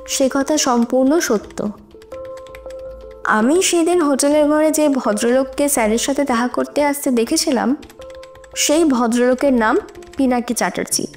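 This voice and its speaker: A young female student played by a woman gives testimony in a courtroom audio drama. Her voice is high and earnest, deliberate and heavy with contained distress, with music underneath. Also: darkish tone, natural voice. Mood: solemn confession. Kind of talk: Bengali audio drama acting.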